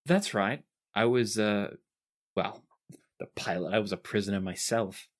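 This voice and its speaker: A man's voice: on the low side, a little slow, natural voice. A man voicing a dazed young prisoner pilot. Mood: matter-of-fact.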